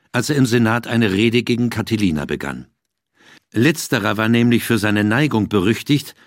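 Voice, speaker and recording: Male narrator, lowish voice, explanatory audiobook reading, very dry close sound. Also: dry recording. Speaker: audiobook reader.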